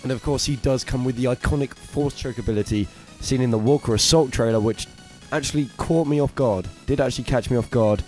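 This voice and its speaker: A man with a raspy throat, co-hosting a casual gaming news roundup with eager, filler-laden chat. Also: mid-range voice, brisk, few pauses, a little mellow, faint music behind. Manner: enthusiastic, chatty, hesitant fillers. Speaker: co-host of gaming news show.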